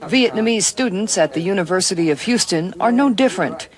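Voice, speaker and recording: A woman's voice: medium pitch, expressive, brisk. A woman working as a television news reporter, interviewing and narrating in a broadcast style.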